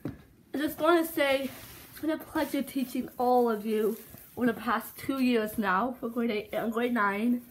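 Cheerful chatty male teacher by a woman, restarts a lot, home phone recording, higher pitch. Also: unhurried, a little hiss or hum, natural voice, poor audio. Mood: warm. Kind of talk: plush toy home video acting.

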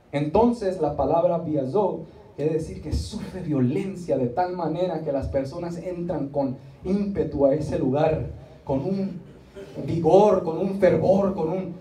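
A middle-aged man preaching to a congregation, emphatic and repetitive, drawing out key words and pausing for responses. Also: fairly high pitch, dark tone. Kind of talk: Spanish-language church sermon with rhetorical repetition and acted asides. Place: small church meeting room, indoors. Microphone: handheld microphone, recorded from the seats. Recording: roomy, distant audience recording with faint noise.

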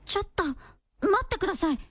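Radio drama heroine: young, sincere, courteous and tentative, strikingly high pitch. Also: female voice, expressive, fast, fairly bright tone, dry recording, clean studio sound. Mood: surprised. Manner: polite, hesitant. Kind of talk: Japanese radio drama acting.

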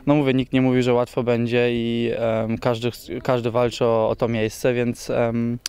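A young footballer speaks outdoors beside a training pitch, his voice remarkably smooth and clear. He talks haltingly, restarting words and filling pauses with ums. The pitch of his voice sits at an ordinary middle height for a man. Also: little pitch movement, a little mellow. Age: young adult. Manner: halting, many fillers and repeated words. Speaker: football player. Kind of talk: post-training interview.

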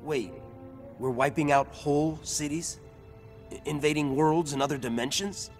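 A man's voice: on the high side, moderate pace, faint music behind, natural voice. An actor playing a young superhero who protests in alarm against his allies' plans. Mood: alarmed, pleading. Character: young male superhero, anxious conscience.

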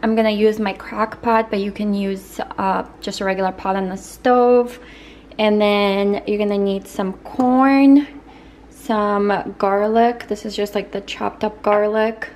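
A young woman talks through a home recipe in a loose, friendly way, with an occasional um or like. She sounds relaxed and conversational. Faint household ambience sits behind her voice. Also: medium pitch, a little slow, slight background noise. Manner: relaxed, with fillers like um and like. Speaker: lifestyle vlogger, stay-at-home mom. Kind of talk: casual recipe walkthrough. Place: home kitchen, indoors.

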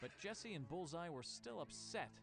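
A man's voice: on the high side, lively intonation, normal speed, creaky, music in the background. A man reading a scripted children's storybook as narrator.

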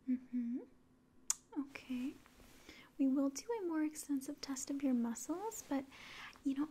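A young woman whispers slowly and breathily in an ASMR roleplay as a calm, faintly condescending alien official. Her voice is high and mellow, with gentle pauses as she addresses the listener up close. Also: slight background noise. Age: young adult. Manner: slow, gentle, hesitant pauses. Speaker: ASMR performer. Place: small indoor room.

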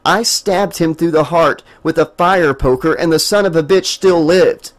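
A man reading an audiobook, voicing a desperate young man who talks low and insistently. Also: normal speed, natural voice. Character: young man, desperate, trying to protect her.

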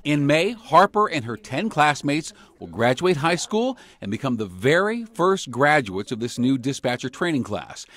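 A man's voice: a little high, big pitch swings, normal speed, hardly any pauses, fairly bright tone. A man in his fifties with an American accent, reporting as a TV journalist in scripted news narration. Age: 50s.

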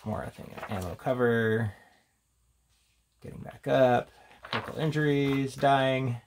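A man's voice: medium pitch, slow, slight background noise. A man giving a casual, unscripted, rambling commentary as a tabletop game reviewer, reading out headings between offhand remarks.

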